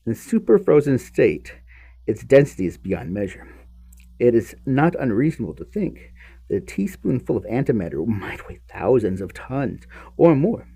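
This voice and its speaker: A man hosting a podcast, reading a science text aloud in an emphatic, halting way with repeated words and offhand muttered asides. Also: medium pitch, sing-song, creaky, mellow, breathy edge.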